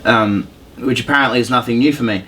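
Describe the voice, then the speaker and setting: A man's voice: mid-range voice, fast talker, background noise. Casual man on a gaming podcast. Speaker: podcast host. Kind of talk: casual chat about video games.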